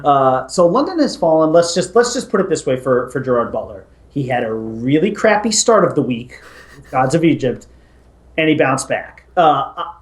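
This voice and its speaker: A man's voice: mid-range voice, very animated, normal speed, echoey room. A man co-hosting a casual movie talk show, giving his ratings in an enthusiastic, storytelling way. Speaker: podcast co-host, movie reviewer. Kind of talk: casual movie review chat.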